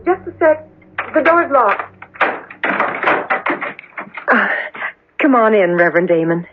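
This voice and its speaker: An actress playing a troubled, guarded young fiancee in a 1970s radio drama, hesitant and earnest. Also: female voice, fairly high pitch, big pitch swings, takes it slowly. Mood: guarded, downcast. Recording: tinny, noisy old radio recording.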